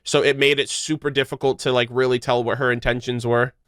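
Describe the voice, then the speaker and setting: A man's voice: mid-range voice, little pitch movement, a little fast, a bit bright, clear, resonant tone, no echo. A young man giving casual, slangy, sweary gaming reaction commentary as a streamer on a close mic. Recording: game music playing behind the voice.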